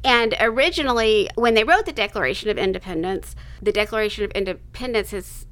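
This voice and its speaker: A woman in her fifties talks casually as an interview guest, her voice bright and sharp-edged with a lot of gravel in it. She meanders, restarts her thoughts and adds little asides as she tells her story. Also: mid-range voice. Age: 50s. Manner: rambling, self-interrupting, laughs between thoughts.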